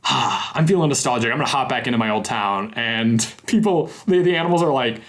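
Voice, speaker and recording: A man's voice: mid-range voice, animated, fairly quick, fairly bright tone. A young man hosting a casual podcast interview, chatty and rambling with lots of fillers and restarts. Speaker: podcast host.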